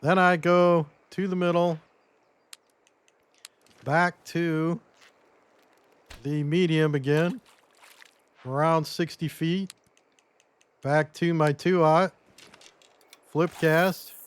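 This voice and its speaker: A man losing his voice a little, giving a relaxed step-by-step gameplay tutorial as commentary. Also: a little high, very slow, long pauses, clean tone. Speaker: game guide creator.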